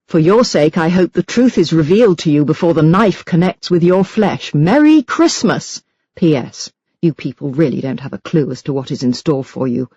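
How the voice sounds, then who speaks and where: A woman's voice: sits low, a little mellow, slightly rough, dry recording, lo-fi sound. Woman reading as a venomous religious zealot, close and dry. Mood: gloating. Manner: scornful, threatening, emphatic. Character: venomous, self-righteous religious hate-mail writer. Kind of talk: dramatised audiobook reading of letters.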